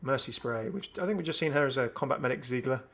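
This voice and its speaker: A man's voice: mid-range voice, fast, gravelly, fairly bright tone. A young man giving casual, enthusiastic commentary on a video game. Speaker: gaming video host.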